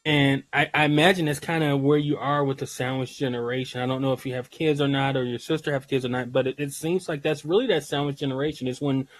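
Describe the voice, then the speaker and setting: A man hosting a healthcare podcast, reading a smooth scripted intro and then chatting casually, with some stumbles and repeated words. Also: mid-range voice, steady tone, fast, hardly any pauses, no room sound. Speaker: podcast host, nurse.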